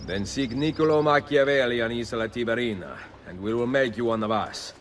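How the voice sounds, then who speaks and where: Actor as a determined Italian assassin leader. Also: male voice, mid-range voice, brisk, a little hiss or hum, natural voice. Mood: commanding. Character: confident young-to-middle-aged male Italian assassin leader, determined, gallant. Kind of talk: dramatic video game acting.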